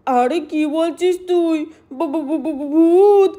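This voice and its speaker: Woman playing a high-pitched, blustering scaredy village kid. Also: takes it slowly, dark tone. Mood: frightened. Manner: childish, exclamatory, stammering bluster. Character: village children, easily scared but boasting of bravery.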